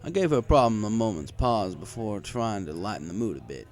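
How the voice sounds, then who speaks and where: Deep, expressive man reading a story. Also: fairly quick, a little hiss or hum. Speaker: story reader. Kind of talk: first-person story narration with acted dialogue.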